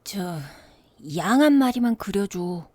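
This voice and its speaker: A woman voicing a small, mysterious boy in a storybook, gentle and insistent, with childlike, drawn-out phrasing. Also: mid-range voice, expressive, dry recording. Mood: soft pleading.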